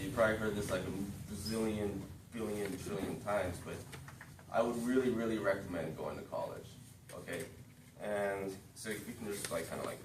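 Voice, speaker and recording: A man in his thirties with a low, deep voice speaks casually to a room of students, often stopping to ask "okay?" and filling pauses with "um". He sounds a little distant from the mic, with a roomy echo and noticeable background noise from the space. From born in the United States, raised in Japan. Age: 30s. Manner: casual, frequent "um" and "okay?" check-ins. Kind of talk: informal classroom talk.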